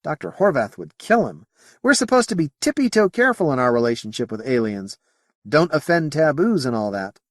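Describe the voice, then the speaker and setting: Man playing a chatty, animated young midshipman. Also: a little high, fairly quick, dry recording, clean studio sound, pitched above the performer's own voice. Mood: amused. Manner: informal, wry, chatty. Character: young male spaceship midshipman, easygoing and talkative.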